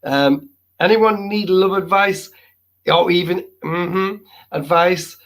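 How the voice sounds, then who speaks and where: A man's voice: sits high, takes it slowly, vocal fry, fairly bright tone. A man hosting a live stream, chatting playfully and reading out viewer comments while losing his voice. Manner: playful and jokey, repeating exclamations.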